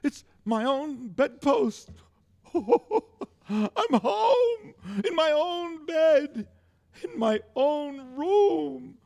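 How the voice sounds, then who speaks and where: Old miser for radio play: high, gravelly voice, impassioned, theatrical delivery that swings widely. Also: male voice, big pitch swings, extremely slow, a little mellow, heavily acted. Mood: giddy joy. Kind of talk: golden age radio drama acting.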